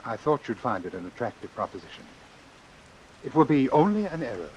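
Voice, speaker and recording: An actor playing a calculating, formal doctor who deliberately and persuasively arranges his own death in an old-time radio suspense drama. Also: mid-range voice, big pitch swings, darkish tone, poor audio. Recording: hissy vintage radio recording with rain. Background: hiss and falling rain.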